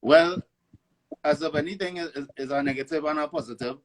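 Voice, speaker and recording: Trini guy, venezuelan born, talks slow and halting, lots of "you know". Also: a little high. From born in Venezuela, raised in Trinidad. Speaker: guest artist. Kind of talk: casual interview chat. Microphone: online live stream.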